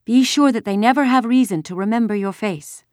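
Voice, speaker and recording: A woman's voice: fairly high pitch, brisk, a little mellow, no room sound, studio quality, pitched above the performer's own voice, lightly acted. A woman voicing a frightened, earnest mother in a storybook, urgent and cautioning. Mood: urgent warning.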